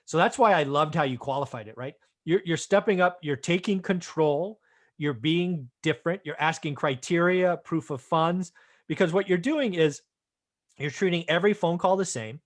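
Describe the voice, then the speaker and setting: Slightly high-voiced man talking business. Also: moderate pace. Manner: explanatory, affirming, often checks in with "right?". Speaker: real estate investor and show host. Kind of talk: conversational business commentary.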